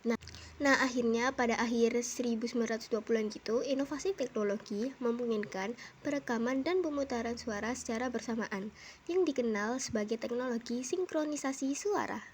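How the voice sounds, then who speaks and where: Hoarse young woman narrating a class video, warm slightly high voice, chatty, faint hiss. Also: brisk. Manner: chatty, peppers talk with "nah" and "gitu deh". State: hoarse, a bit unwell. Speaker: student presenter. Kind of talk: casual educational voice-over. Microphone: home-recorded dubbing.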